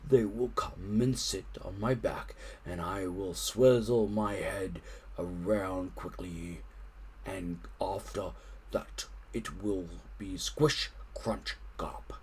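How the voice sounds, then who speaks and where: A man's voice: takes it slowly, slightly rough, full character voice. A man voicing a huge, sly, greedy storybook crocodile, muttering secretively to himself. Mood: sly, hungry. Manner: low, secretive muttering and whispering.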